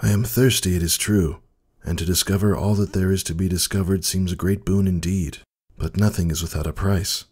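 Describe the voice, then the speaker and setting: A man voicing a wise, weary old male pony in a storybook reading, speaking softly in a husky, measured, formal way. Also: low-pitched, fairly even intonation, voice pushed up. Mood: grave, measured. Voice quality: husky, soft, dark.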